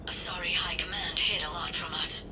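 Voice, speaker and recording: A woman's voice: lowish voice, fairly even intonation, brisk, recorded from a distance, over music, lightly acted. A woman playing a serious, analytical alien intelligence expert in a video game. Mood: grave, informative.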